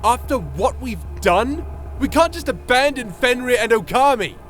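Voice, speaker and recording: Man acting an impassioned, high-voiced fantasy hero. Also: expressive, normal speed, a bit bright. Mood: urgent, defiant. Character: Odin, impassioned guilt-ridden man determined to save his friends. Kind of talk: fantasy audio roleplay acting.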